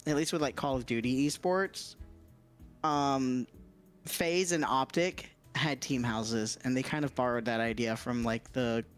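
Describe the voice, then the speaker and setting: A man's voice: mid-range voice, fairly bright tone. A man chatting casually as a livestream guest, explaining things in a hesitant, circling way with frequent hedging.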